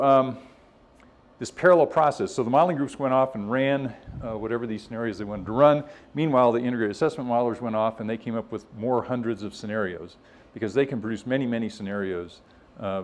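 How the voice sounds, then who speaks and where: A man's voice: medium pitch, a little fast. Man giving an informal technical talk. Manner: conversational, explanatory, acts out others' positions in imagined quotes. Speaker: presenter at a scientific workshop.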